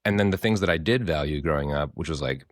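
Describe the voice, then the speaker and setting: A man from the American Midwest, a therapist co-hosting a podcast, talking in a casual, expressive, rambling way with a hoarse voice and frequent swearing. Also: extremely low pitch, fast, dry recording, studio quality. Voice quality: hoarse from screaming.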